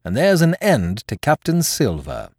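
A man reads as a bold, cheeky young adventurer in a storybook. He is polite yet ironic and commanding, with very lively intonation and a dry, close sound. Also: mid-range voice, measured pace, vocal fry, no room sound, pitched above the performer's own voice, heavily acted. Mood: triumphant. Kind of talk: storybook character voice in audiobook.

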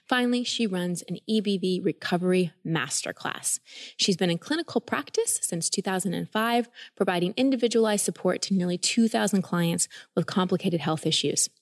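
A woman's voice: lowish voice, no echo. Upbeat woman host, dry close mic. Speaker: podcast host. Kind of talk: read ad and interview.

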